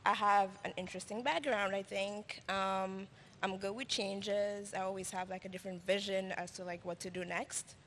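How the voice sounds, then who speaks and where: A woman's voice: medium pitch, fairly even intonation, normal speed, fairly bright tone, slight background noise. A woman whose first language is French, raised in Paris, speaking hesitantly with many fillers about her finance work on stage. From grew up in Paris, France. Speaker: finance professional, conference attendee. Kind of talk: on-stage conversation. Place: conference stage with audience. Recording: long hall reverb.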